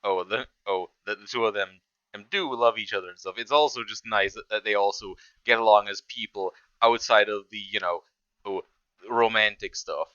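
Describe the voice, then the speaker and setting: Low, bright-voiced man reacting casually. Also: clear, resonant tone, no room sound, highs cut off. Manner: halting, repeats words and phrases. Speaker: reaction video host.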